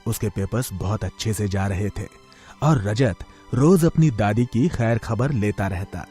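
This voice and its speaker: A man's voice: on the low side, measured pace, warm tone, soft background music. A man reading scripted narration for a moral story as its narrator.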